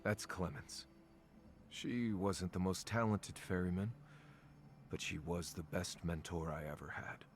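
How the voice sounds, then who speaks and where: A man voicing a somber game character, a grieving ferryman of souls who speaks in a measured, grave way. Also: sits low, steady tone, slight background noise. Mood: somber, reflective. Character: somber male ferryman of souls, mourning his mentor. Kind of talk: video game voice acting.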